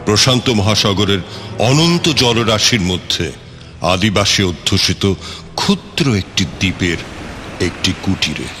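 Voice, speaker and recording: A man's voice: lowish voice, a bit bright. A man narrating a radio play from a script in a dramatic storytelling style. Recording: long reverb, music bed.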